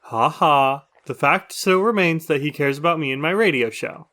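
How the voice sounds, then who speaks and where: A man's voice: on the high side, moderate pace, pushed and bright. A man playing a chatty, upbeat survivor who runs a radio show in an audio drama, talking casually and teasingly.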